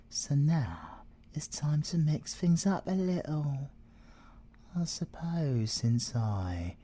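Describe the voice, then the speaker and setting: A man's voice: medium pitch, dark tone, full character voice. A British man playing a playful, possessive, seductive siren villain in a theatrical ASMR audio roleplay. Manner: teasing, theatrical, drawn-out delivery with drops into whispering.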